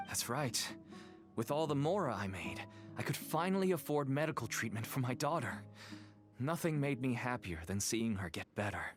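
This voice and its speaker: A man voicing a weary, pleading merchant and devoted father in a video game, speaking in an earnest, measured, persuasive way. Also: mid-range voice, sing-song, lightly acted. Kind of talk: video game dialogue acting. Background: background music.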